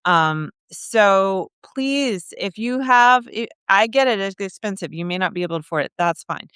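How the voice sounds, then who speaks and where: Woman, casual live-stream host, dry close recording, smooth clear voice, chatty with fillers. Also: mid-range voice, lively intonation, moderate pace, a bit bright, no echo. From Kenya. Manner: chatty, many fillers and restarts. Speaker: host of a live video, trip leader.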